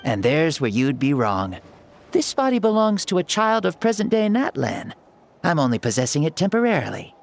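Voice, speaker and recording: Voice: medium pitch, sing-song, normal speed, creaky, faint music behind. An actor playing an ancient hero who inhabits a young boy's body in a video game, theatrical, jaunty and self-assured.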